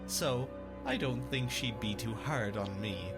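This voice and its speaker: Sly servant, mock-deferential, unhurried swooping delivery, dark fantasy drama, loud music behind. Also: male voice, lowish voice, lively intonation. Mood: needling. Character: sly servant of a count, mock-humble and needling.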